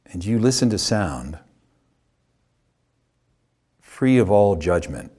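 A man with a husky voice leading a guided meditation as a yoga teacher, speaking calmly in short, unhurried phrases with long pauses. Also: lowish voice.

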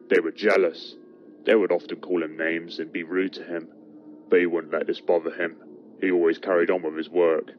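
A man voicing a grim steam engine who tells dark tales in an ominous, deliberate manner with pauses for effect. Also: sits low, natural voice. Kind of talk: slow dramatic horror storytelling. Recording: muffled, very noisy recording.

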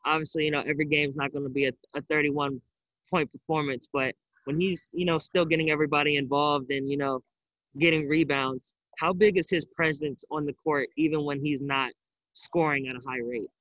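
Young male reporter, slightly high, dry voice. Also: fairly even intonation, brisk, fairly bright tone, no echo, muffled recording. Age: young adult. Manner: conversational, wordy questions. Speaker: sports reporter. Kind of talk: press conference questions. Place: indoor arena stands.

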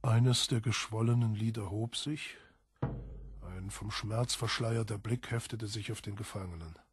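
A man with a husky, raspy voice narrating a literary audiobook from a script. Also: fairly low pitch, a little slow, soft background music.

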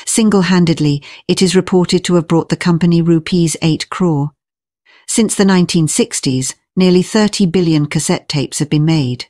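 Woman doing scripted explainer narration, lowish voice, pretty dry recording no room echo. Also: normal speed, dark tone.

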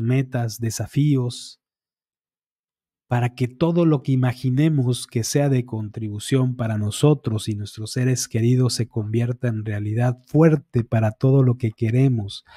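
A middle-aged man with a mellow, warm tone recites affirmations one after another in a calm, measured cadence. He sits close to a studio microphone in a small dark room, and the sound is dry and intimate. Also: mid-range voice, dry recording. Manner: eyes often closed, fingertip to temple, concentrating. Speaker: energy healing practitioner. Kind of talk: guided affirmation session. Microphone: studio microphone on boom arm, close.

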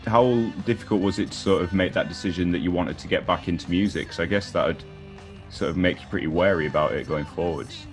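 A young man hosting a casual interview over a video call, asking relaxed conversational questions. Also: sits low, fast talker, faint music behind. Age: young adult. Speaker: interviewer, host. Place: indoor home room, video call.

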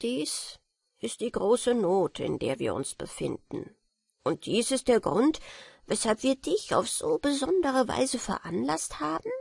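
A solemn little dwarf king from a storybook, acted out by a woman. The voice is husky and lifted, swinging widely in pitch. He pleads in earnest, formal phrases. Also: darkish tone, dry recording, clean studio sound, full character voice. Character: solemn, pleading dwarf king, small fairy-tale male ruler.

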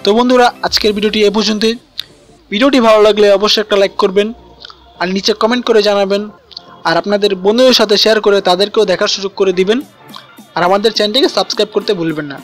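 A man's voice: high-pitched, steady tone, fast talker, fairly bright tone. A man narrating an instructional app tutorial as its host. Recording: voice-over with music behind.

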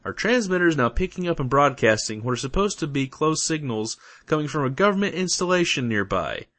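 A man's voice: a little high, big pitch swings, a little fast, a bit bright. A man playing a blunt but decent, weary radio station worker in a comedy audio drama, sarcastic and sweary.

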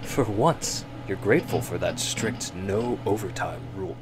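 A man narrating a suspense radio drama in the second person, with measured, hushed storytelling. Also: sits low, noisy background.

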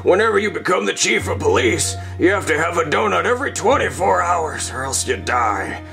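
A man's voice: high-pitched, big pitch swings, fairly quick, creaky, sharp tone, exaggerated voice. A man playing a melodramatic, bossy dying police chief in a comedy skit. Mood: weak, resigned. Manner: melodramatic, calls listener "guy". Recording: faint music behind.

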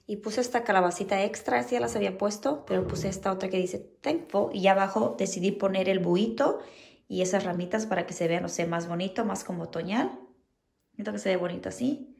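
Chatty woman with a gravelly voice. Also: mid-range voice, darkish tone. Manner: chatty, full of diminutives and fillers. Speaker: home decor vlogger. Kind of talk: casual how-to chat. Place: at home indoors.

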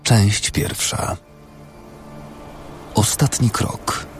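A man's voice: fairly low pitch, steady tone, extremely slow. A man working as an announcer, reading scripted titles and credits. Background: music.

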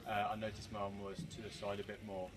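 A man's voice: lowish voice, flat delivery, fast talker. A young man assessing his own bowling, hesitant with many fillers, recorded in an indoor sports hall. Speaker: student doing self-assessment. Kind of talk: self-assessment. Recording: distant camera audio, clear hall noise.

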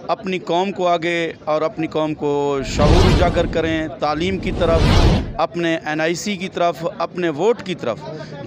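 A man in his forties making an earnest appeal in an interview on a handheld microphone. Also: fairly high pitch. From Pakistan. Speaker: party supporter being interviewed. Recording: crowd behind, handheld microphone.

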